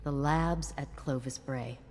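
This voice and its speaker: A wise scholar from a video game. Her voice is low, and she talks with measured thought and dry wit. Noticeable ambient noise runs behind her. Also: a little mellow, exaggerated voice. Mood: thoughtful. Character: wise scholarly woman, composed, dry-witted old friend. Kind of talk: video game character acting. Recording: clear background ambient noise.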